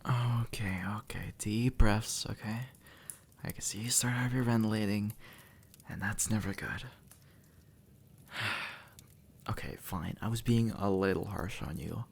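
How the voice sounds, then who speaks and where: A man's voice: lowish voice, steady tone, measured pace, gravelly, long pauses, husky, full character voice. A man playing a sardonic, impatient demon in a conversational audio roleplay, blunt and sarcastic, speaking straight to the listener. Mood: calmer, reassuring. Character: sardonic, impatient male demon, centuries dead, gruffly reassuring.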